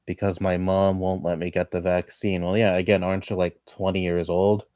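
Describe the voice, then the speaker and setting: A man in his twenties chatting casually on a livestream at home, rambling and laughing softly between thoughts. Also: deep voice, level tone, moderate pace, dry recording, clean studio sound. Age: 20s. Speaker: livestreamer reading chat. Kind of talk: casual chat.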